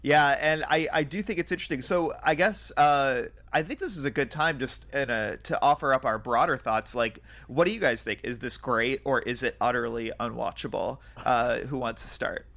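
A man's voice: medium pitch, normal speed, vocal fry, few pauses, a bit bright. A man hosting a podcast, talking casually about film and interviews. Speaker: podcast host. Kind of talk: casual film discussion with quoted interview material.

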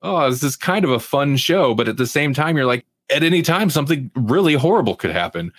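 A man's voice: mid-range voice, very animated, a little fast, no room sound. A man hosting a TV review podcast in casual chat, rambling and informal with an amused manner. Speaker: podcast host reviewing TV shows.

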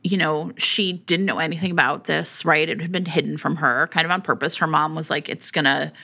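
Enthusiastic bright-voiced woman co-host. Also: deep voice, fairly even intonation, fairly quick, creaky. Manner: enthusiastic, affirming, frequent "yeah" and "right". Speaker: podcast co-host. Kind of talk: casual book chat.

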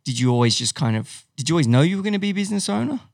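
Gravelly-voiced male interviewer. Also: a little high, very animated, rapid-fire, dry recording, studio quality. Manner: rambling questions with stumbles. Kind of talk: casual interview questions.

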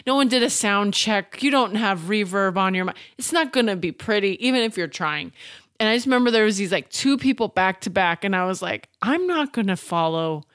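A woman's voice: medium pitch, lively intonation, a little fast, a bit bright, no echo. A woman co-hosting a podcast, drifting through long anecdotes in an easy, talkative way. The mic is close and dry, with no room sound. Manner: chatty, rambling anecdotes. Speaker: co-host. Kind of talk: casual podcast chat.

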